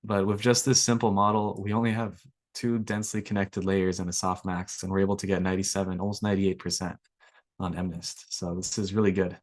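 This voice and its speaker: A young man, slightly raspy from allergies, walking through a coding workshop in a relaxed way with lots of ums. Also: low voice, brisk, no room sound. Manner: relaxed, filler-heavy explaining. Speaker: workshop instructor. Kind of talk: screen-share coding tutorial.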